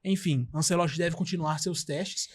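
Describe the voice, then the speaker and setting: A man's voice: a little high, moderate pace, slightly rough. A Brazilian man co-hosting a sports talk show, musing along with fillers and trailing sentences. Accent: Brazilian Portuguese.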